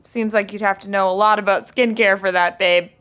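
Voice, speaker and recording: A woman's voice: medium pitch, steady tone, a little fast, noisy background, lightly acted. A woman playing an anxious, exasperated young wife in a sitcom podcast, flustered and awkward.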